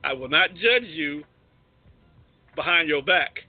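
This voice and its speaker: Animated slangy man with a husky voice. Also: a little high, takes it slowly, narrow, tinny audio, soft background music. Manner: slangy, teasing. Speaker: podcast host. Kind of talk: casual joking banter.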